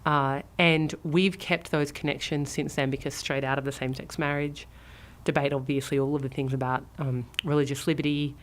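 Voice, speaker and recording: A woman from Australia with an Australian accent, a radio studio guest who answers briskly with dry humor. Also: deep voice, level tone, a little hiss or hum. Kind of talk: radio interview.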